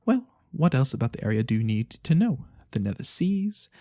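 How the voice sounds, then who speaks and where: A performer voicing a mysterious, courteous fantasy lorekeeper in a soft-spoken ASMR roleplay, breathy and theatrical with knowing pauses. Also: mid-range voice, very animated, no echo, studio quality. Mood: coy, businesslike. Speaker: storyteller character.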